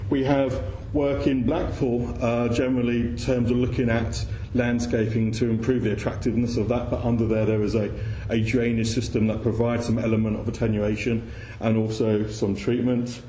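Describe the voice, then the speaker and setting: Mellow-voiced man presenting in an echoey room. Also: medium pitch, level tone, moderate pace. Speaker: conference speaker. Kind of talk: informal presentation to an audience. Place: indoor room with audience. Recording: echoey room with faint background noise.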